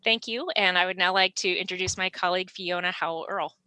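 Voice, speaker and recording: A woman's voice: on the low side, fast talker, smooth, no room sound. A woman in her forties, an auditor, giving an earnest formal report and self-introduction at a public meeting. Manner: earnest, steady report with occasional fillers.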